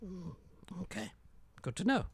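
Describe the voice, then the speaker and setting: A man voicing a pushy, blustering male detective with an Irish accent, talking in a loud, sputtering, accusing way. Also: high voice, sing-song, measured pace, creaky, husky, pitched above the performer's own voice, exaggerated voice.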